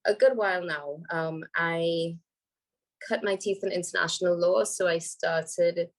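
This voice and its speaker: Lady w a cold, scratchy gravelly voice, lots of ums, talking over a video call. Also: fairly low pitch, fairly even intonation, unhurried. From Trinidad and Tobago. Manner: thoughtful, many ums and restarts. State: hoarse from a cold. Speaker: panel speaker, environmental lawyer. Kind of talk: casual panel talk.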